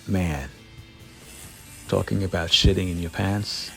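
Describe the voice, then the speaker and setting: Guy with a really deep creaky voice, candid casual diy voiceover, bit of music under it. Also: fairly even intonation, slow, fairly bright tone. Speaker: vlogger narrating own van build. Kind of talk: casual DIY voice-over narration.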